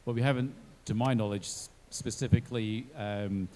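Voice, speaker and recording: Low-voiced male panelist who muses aloud and circles back mid-sentence. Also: fairly even intonation, normal speed, darkish tone, slight background noise. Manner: thinking aloud, trailing restarts. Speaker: panelist from a blockchain company. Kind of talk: online panel discussion.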